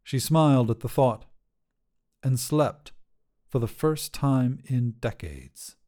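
A man narrating a scripted short story for a podcast in measured, deliberate phrases with pauses. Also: medium pitch, expressive, dark tone.

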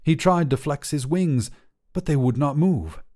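A man reading a short story as its narrator, with a measured, literary storytelling delivery. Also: a little high, animated, dark tone. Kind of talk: scripted fiction narration, storytelling.

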